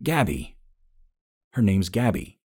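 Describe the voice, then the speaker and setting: A male narrator acts out an uneasy, guilt-ridden man in an audiobook. The voice is deep and husky, hesitant and defensive, with a pleading edge. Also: big pitch swings, slow, a little mellow, no echo, clean studio sound, heavily acted. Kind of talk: audiobook character acting.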